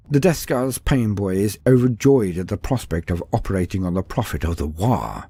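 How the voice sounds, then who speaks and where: A man's voice: lowish voice, a little mellow, husky, dry recording. A man reading scripted fantasy lore as a narrator, in a theatrical, formal storytelling style.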